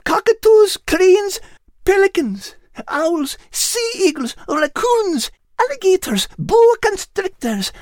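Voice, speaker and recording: Little female dog, very high voice, chatty, tender, animated, storybook character. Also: slow pace, no echo, clean studio sound, exaggerated voice. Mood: excited.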